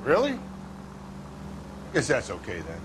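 A middle-aged American detective in a crime game. He is gruff, blunt and short-tempered, with a slangy way of talking and a mid-range pitch. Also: huge pitch swings, measured pace, background noise. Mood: grumbling. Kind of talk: game cutscene acting.

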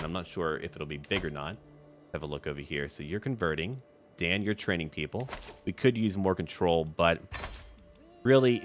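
A man giving casual, in-character commentary on a strategy game, thinking aloud in short remarks as if talking to the characters. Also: low-pitched. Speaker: gaming video host. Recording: music behind the voice. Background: background music.

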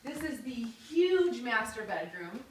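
A woman's voice: fairly high pitch, big pitch swings, measured pace, echoey room, recorded from a distance, slight background noise. Upbeat woman touring an empty house. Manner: upbeat, chatty, jokey. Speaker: tour guide showing a new house. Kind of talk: casual home tour video. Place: empty house with bare rooms, indoors. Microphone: phone camera held by another person.

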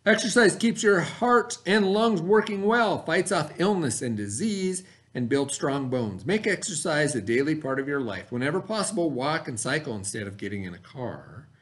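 A middle-aged American man, an elementary teacher, reading a lesson aloud to children in an expressive, instructional manner. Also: fairly high pitch. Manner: expressive, instructional, pauses to ask the listener questions. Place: small indoor classroom, at a desk.

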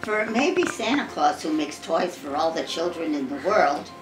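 An American woman in her eighties reads a Christmas poem aloud to family, measured and expressive, with the odd stumble. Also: sits low, background noise. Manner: measured, expressive storybook reading with occasional stumbles. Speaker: grandmother reading to family. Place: living room at home. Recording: roomy home recording with music behind.

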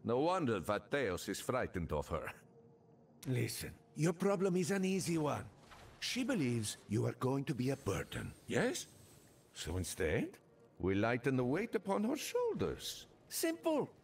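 An actor playing a chatty, sly, jovial young desert rogue in a video game, with theatrical banter. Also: male voice, medium pitch, huge pitch swings, a little slow, creaky, slight background noise, heavily acted. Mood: amused, scheming. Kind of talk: video game character dialogue.

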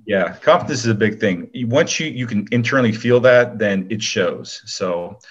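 A man's voice: fairly low pitch, moderate pace, highs cut off, crowd noise. A man speaking as an interview guest, giving casual advice in a rambling way full of "you know".

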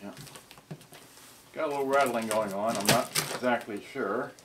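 A man in his sixties chatting casually and haltingly to a camera, muttering to himself as he handles things during an unboxing. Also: medium pitch. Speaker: video host, boombox collector. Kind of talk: casual unboxing and show-and-tell chat. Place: cluttered indoor storage room. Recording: noisy room recording with clicks.

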